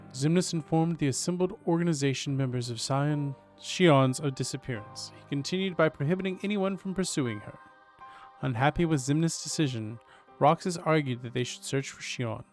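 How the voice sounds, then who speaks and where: A man's voice: on the high side, normal speed. A man live streams a video game run. He reads the story text aloud and comments casually as he goes, with game music playing faintly behind his voice.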